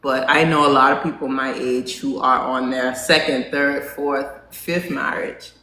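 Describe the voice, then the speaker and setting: A non-binary host in their forties chatting casually with a live audience, asking them questions and filling pauses with "um". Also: mid-range voice, steady tone, pushed and bright. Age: 40s. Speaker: live talk show host. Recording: roomy echo, faint background hum.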